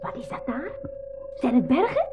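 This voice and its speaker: A woman playing a lively, inquisitive young girl traveller in a 1980s school radio play. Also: sits high, moderate pace, over music. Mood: doubtful, curious.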